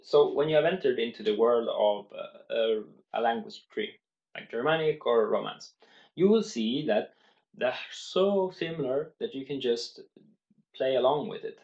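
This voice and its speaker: A man's voice: medium pitch, animated, a little slow, darkish tone, roomy sound, dull audio. A man vlogging about language learning, speaking unscripted to the camera in an earnest way and explaining step by step, with many restarts.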